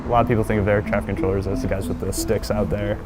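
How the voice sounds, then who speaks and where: A man's voice: on the low side, level tone, racing. A young man, an air traffic controller, explaining his job plainly in a documentary interview. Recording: music bed behind voice.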